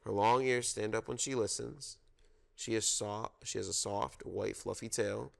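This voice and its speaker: A man reads a children's book aloud in a fairly low voice with a lot of fry. He takes it very slowly, pausing to let listeners guess along with him. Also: fairly even intonation, a bit bright. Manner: interactive, pauses to prompt listeners for guesses. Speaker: storybook reader at a children's story time.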